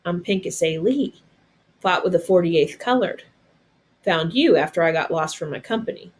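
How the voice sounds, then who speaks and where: A man's voice: high voice, animated, moderate pace. A woman reading a storybook as a kind, determined young soldier boy who speaks in a folksy rural dialect. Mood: earnest, determined. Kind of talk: storybook read-aloud character.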